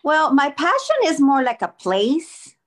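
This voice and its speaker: A woman's voice: high-pitched, sing-song, measured pace. A woman from Costa Rica, calling in on a video call and giving brief, friendly answers. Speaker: caller. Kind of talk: casual chat.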